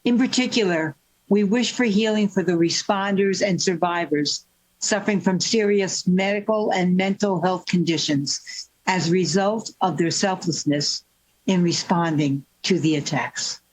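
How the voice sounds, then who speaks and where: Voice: mid-range voice, a little slow, rough recording. A person reading a scripted commemorative passage in a formal ceremonial style. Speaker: ceremony reader.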